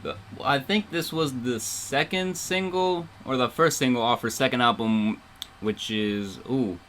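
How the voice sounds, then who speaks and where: A man's voice: medium pitch, measured pace, fairly bright tone, a little hiss or hum. A man hosting a casual livestream radio show as DJ, playful and rambling, with emphatic announcing.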